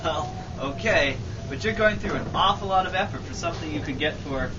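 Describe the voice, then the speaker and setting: A man's voice: a little high, fairly quick, lightly acted. A man playing a sarcastic, incredulous airship captain in an amateur old-time radio comedy. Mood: dry sarcasm. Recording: muffled, very noisy, distant room mic.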